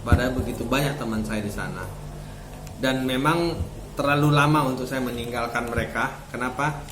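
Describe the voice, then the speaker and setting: A man's voice: medium pitch, moderate pace. Middle-aged man, echoey room. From Indonesia. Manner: reflective, repeats phrases, often ends with tag words. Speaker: vlogger and seminar speaker. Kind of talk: casual personal storytelling to camera. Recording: roomy echo, faint background noise.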